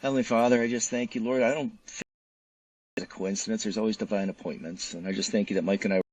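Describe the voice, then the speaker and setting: A man's voice: lowish voice, fairly even intonation, fast talker, darkish tone, breathy edge, no echo, low-quality recording. A man speaking conversationally as an author and interview guest. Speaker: novelist guest.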